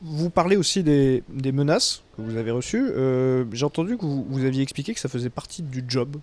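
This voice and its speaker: A man's voice: mid-range voice, lively intonation, brisk, a little mellow. A man interviewing a guest, asking polite questions hesitantly, with fillers.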